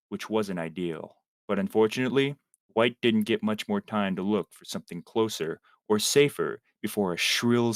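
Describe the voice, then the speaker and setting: A man's voice: lowish voice, no room sound, clean studio sound. A man reading a piece of fan fiction aloud to friends on a livestream, theatrically, now and then stumbling over words.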